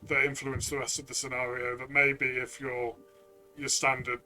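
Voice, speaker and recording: A man's voice: medium pitch, level tone, moderate pace, sharp tone, soft background music. A man talking conversationally as a co-reviewer, giving a player's view in a review discussion.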